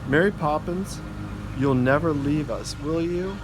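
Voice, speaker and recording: A man's voice: on the high side, measured pace, pitched above the performer's own voice, lightly acted. A man reading the part of a curious young boy in a children's storybook. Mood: hopeful. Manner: questioning, curious. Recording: outdoor noise, vehicle and ambient hum.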